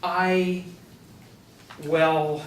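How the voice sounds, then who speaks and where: A man's voice: sits high, little pitch movement, very slow. A man playing a naive, frightened young villager cast out of a cult, telling his story earnestly in a staged play reading. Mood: nervous. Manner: earnest storyteller, stammering when pleading. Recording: roomy, distant stage recording with noise.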